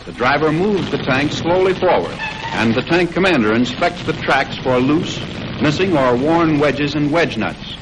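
American male narrator, 1940s instructional film, higher register, formal measured read, slightly noisy vintage soundtrack. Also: animated.

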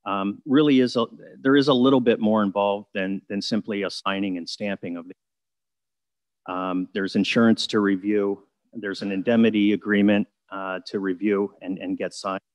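A man speaking on a video call at a council meeting, explaining a bylaw in a measured, procedural way with frequent fillers. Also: lowish voice, no echo. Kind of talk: formal council meeting presentation.

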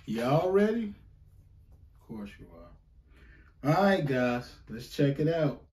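A man's voice: on the high side, sing-song, slow, darkish tone, recorded from a distance. A man co-hosting a reaction video, chatting casually with his partner. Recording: roomy, echoing home recording.

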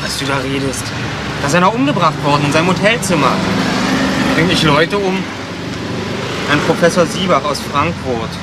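A defiant young homeless man played by an actor in an eighties crime radio play. His voice sits somewhat high, and he talks cheekily and indignantly, repeating himself. The street recording is clearly noisy. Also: animated, normal speed, long pauses, close to an everyday voice. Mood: cheeky, curious. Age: young adult. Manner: colloquial, indignant, repetitive. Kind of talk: 1980s German crime radio drama acting. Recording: noisy outdoor street scene with traffic.

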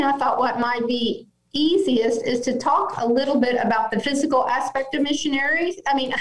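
A woman in her fifties, a physician speaking as a podcast guest over a video call, measured and gentle in her explanations. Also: high voice. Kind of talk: remote podcast interview. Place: office at home, indoors. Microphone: video call webcam. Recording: echoey room on video call.

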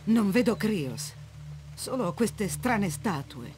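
An alert female warrior from a video game. She sounds questioning, in a medium pitch, with clear music underneath. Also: sing-song, slow pace. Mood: puzzled, alert. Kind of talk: video game cutscene acting, Italian dub.

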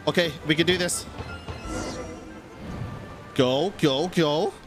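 Excitable streamer guy over music. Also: fairly high pitch, slow pace. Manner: reactive, excitable, addresses viewers, swears. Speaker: game streamer. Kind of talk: casual live gameplay commentary. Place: indoor streaming setup. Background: game music.

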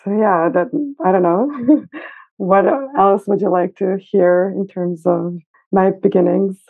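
A woman's voice: mid-range voice, fairly even intonation, takes it slowly, vocal fry. Woman over a tinny phone-like line. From Serbia. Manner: thoughtful, hesitant with fillers. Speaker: guest costume designer. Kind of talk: casual interview. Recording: phone-like remote call.

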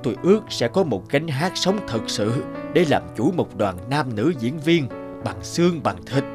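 A man voicing a modest, kindly old puppeteer in a cartoon fairy tale, measured and reflective. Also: medium pitch, big pitch swings, over music, close to an everyday voice. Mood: wistful.

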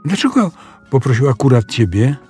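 A man's voice: mid-range voice, sing-song, normal speed, darkish tone, soft background music, pitched above the performer's own voice, lightly acted. A man voicing an upset, scolding little girl in a children's audio story, with stern, emphatic delivery. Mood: suspicious, stern.

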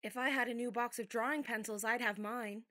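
An eager, girlish youngest sister, played by an actress in an audio drama. The voice is fairly high and sounds close and dry. She speaks with keen enthusiasm. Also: fairly even intonation, brisk, pushed and bright, no room sound. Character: youngest sister, eager would-be artist girl. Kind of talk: theatrical audio drama acting.

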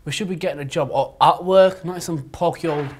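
Brief: young man as an anxious, wry graduate, fast and slightly high voice. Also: background noise, natural voice. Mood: sarcastic worried. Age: young adult. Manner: anxious, dry sarcastic jabs. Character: nervous, sarcastic young male literature graduate. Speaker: actor in amateur short film.

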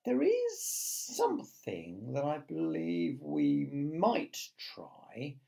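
A man voicing a small, earnest boy hero in a children's story, tentative and soft-spoken. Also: extremely high pitch, huge pitch swings, normal speed, vocal fry, voice pushed up, heavily acted.

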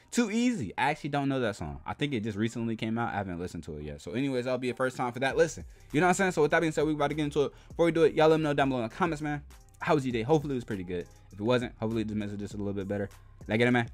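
A man's voice: mid-range voice, lively intonation, rapid-fire. A young man casually reacting to a music performance, rambling and chatty. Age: young adult. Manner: chatty, rambling, frequent "you know what I'm saying". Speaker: reaction video host. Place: indoor room, webcam overlay on video.

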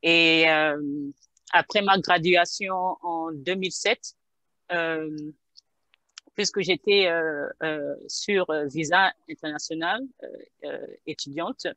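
A woman's voice: low-pitched, fairly even intonation, slow pace, pushed and bright, dry recording, low-quality recording. A woman in her thirties from Gabon, a pharmacist answering interview questions on a headset from a home office, hesitant with many fillers. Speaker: guest pharmacist. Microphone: headset on video call.

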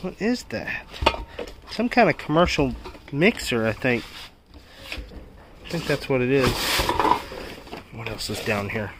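Unhurried man casually rummaging, noisy recording. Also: medium pitch, very animated. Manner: short exclamations and questions, talking while rummaging. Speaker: vlog host. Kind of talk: casual unscripted unboxing commentary. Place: storage unit. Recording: clear background noise with handling clicks.

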